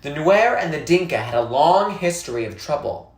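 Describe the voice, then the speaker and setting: A narrator reading a children's novel aloud in a measured, expressive storytelling way. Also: mid-range voice. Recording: echoey, roomy sound.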